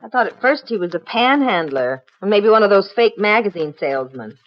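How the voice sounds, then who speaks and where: A woman playing a chatty, easily nettled housewife, conversational and expressive, in 1950s radio drama style. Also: mid-range voice, a little fast, lightly acted. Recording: dull old radio broadcast recording.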